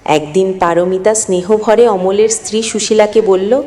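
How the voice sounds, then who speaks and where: A woman's voice: mid-range voice, fairly even intonation, moderate pace, dark tone. A woman narrating a scripted moral story in an earnest, dramatic storytelling manner. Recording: long hall-like reverb.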